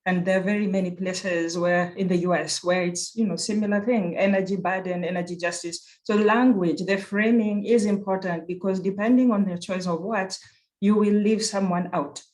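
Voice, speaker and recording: An earnest speaker with a Kenyan accent presents at an online conference in a mid-range voice. The delivery is reflective and unhurried, often circling back to rephrase a point. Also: medium pitch, steady tone, a little mellow, poor audio. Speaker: conference speaker, university program director.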